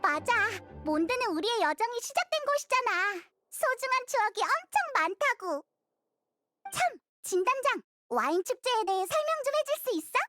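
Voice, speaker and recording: A little floating fairy from an anime game. The voice is piercingly high, very animated and childlike. It sounds chirpy and curious, over soft music. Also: female voice, moderate pace, full character voice. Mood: chatty, musing.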